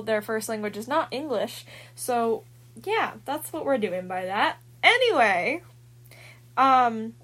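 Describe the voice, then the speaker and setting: A woman with a somewhat high voice hosts a casual podcast. She introduces segments and gives her opinions in an upbeat, easygoing way. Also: fairly bright tone. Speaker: podcast host.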